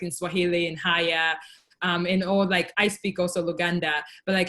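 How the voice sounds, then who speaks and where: A woman's voice: lowish voice, fairly bright tone. A young woman from Tanzania hosting a casual video call chat, talking with lots of fillers and "like". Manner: talks with many fillers and "like". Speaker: host of the virtual chat.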